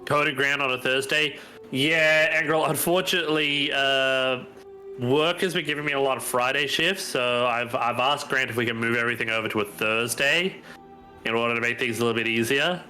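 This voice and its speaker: A man's voice: mid-range voice, fairly even intonation, moderate pace, sharp tone, soft background music, poor audio. Stuffy-nosed man chatting on a stream. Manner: chatty, thinks aloud while browsing. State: stuffy from hay fever. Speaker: stream co-host. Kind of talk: casual live-stream chat.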